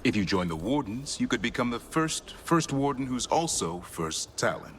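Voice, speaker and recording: An actor playing a playful, teasing companion in a fantasy video game. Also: male voice, medium pitch, sing-song, normal speed, fairly bright tone, slight background noise.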